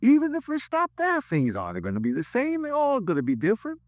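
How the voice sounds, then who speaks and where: A man's voice: very high voice, wildly swooping pitch, fast, no echo, exaggerated voice. An actor playing an eccentric, rambling crank who earnestly preaches doom in a 1950s radio drama, hesitating as he goes. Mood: earnest, foreboding. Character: eccentric male crank author, rambling doomsday prophet.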